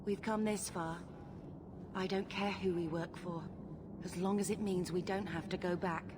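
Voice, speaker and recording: Low-voiced, earnest young refugee woman played by an actress. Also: steady tone, moderate pace, noisy background, natural voice. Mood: resolute, earnest. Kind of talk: video game cutscene acting.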